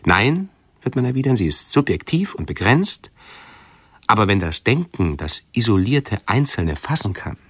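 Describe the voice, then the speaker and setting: An actor playing an anxious hypochondriac who broods aloud in a German radio play, pedantic, halting and often interrupting himself. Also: male voice, lowish voice, sing-song, a bit bright, breathy edge, no echo, full character voice. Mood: agitated pondering. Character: anxious hypochondriac man obsessively rehearsing pleas to doctors.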